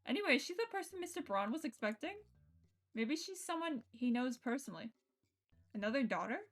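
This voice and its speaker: Female game streamer, slightly high lively voice, reads narration aloud with casual commentary. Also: brisk. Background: game music.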